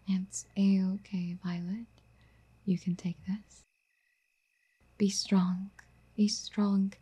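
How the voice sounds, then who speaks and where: Soft mellow girl acting as a clingy rich yandere girlfriend, breathy n coaxing, asmr rp, drops into whispers. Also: mid-range voice, heavily acted. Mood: self-soothing, subdued. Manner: coaxing, soft-spoken, often dropping into whispers.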